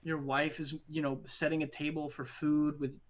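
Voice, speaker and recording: A man's voice: on the high side, little pitch movement, normal speed. A young man in a casual group discussion about film, explaining his points earnestly as a podcast co-host.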